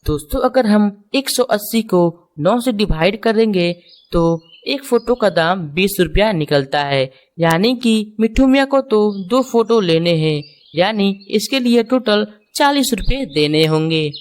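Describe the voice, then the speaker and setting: A woman's voice: mid-range voice, lively intonation, measured pace, a little mellow. A woman reading scripted children's stories and puzzles, explaining things and speaking directly to the viewers. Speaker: narrator.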